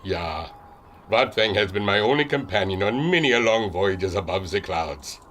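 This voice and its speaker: An actor playing a huge, jovial German airship captain and inventor with a theatrical delivery and a German accent, in a pulp radio adventure. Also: male voice, expressive, moderate pace, fairly bright tone, a little hiss or hum. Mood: genial, proud. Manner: hearty booming laugh, theatrical delivery.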